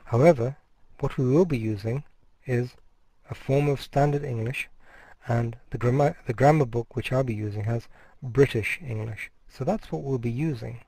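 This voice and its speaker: A middle-aged man delivers a university lecture in a steady, explanatory way, now and then breaking off a word to restart it. His voice sits at an ordinary pitch with a soft, mellow tone. The sound is close and dry, with no room around it. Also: vocal fry, no echo. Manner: measured, explanatory, restarts words mid-phrase. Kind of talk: recorded course lecture.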